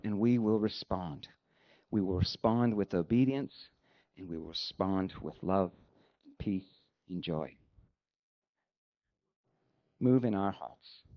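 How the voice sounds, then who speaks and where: A man delivering a sermon as a pastor, speaking in an earnest, conversational way with deliberate phrasing. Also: fairly low pitch. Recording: dull, lo-fi sound.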